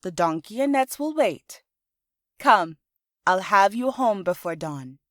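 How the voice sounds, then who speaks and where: A woman voicing a confident young female dancer, a playful and gently bossy elder sister in a storybook. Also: mid-range voice, expressive, slow pace, no echo, studio quality. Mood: coaxing.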